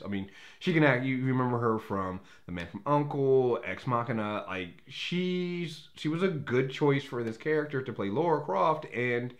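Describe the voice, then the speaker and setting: A man with a mid-range voice hosts a casual movie review. He talks conversationally and without a script, giving his opinions in a rambling way. He often backtracks and rephrases as he goes. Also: medium pitch. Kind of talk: casual unscripted review commentary.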